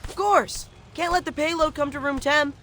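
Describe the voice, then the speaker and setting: A man's voice: very high voice, normal speed, sharp tone, a little hiss or hum. A man voicing an enthusiastic, geeky teenage mutant turtle boy in a cartoon game, eager and matter-of-fact. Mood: gleeful. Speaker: voice actor in a game cutscene. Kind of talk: animated cartoon game acting.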